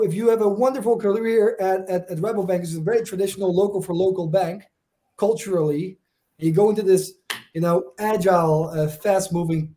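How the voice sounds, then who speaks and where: A man's voice: high-pitched, mellow. A man in his thirties with a Dutch accent, speaking as a guest in a video-call panel discussion and repeating words as he starts his points. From Netherlands. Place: home room, video call.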